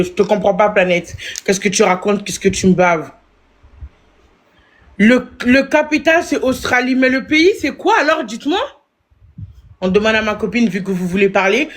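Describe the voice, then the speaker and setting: A woman hosting a casual live stream, teasing and arguing in a playful way and repeating her points. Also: fairly high pitch. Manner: teasing, argumentative, repeats herself. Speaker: livestream host.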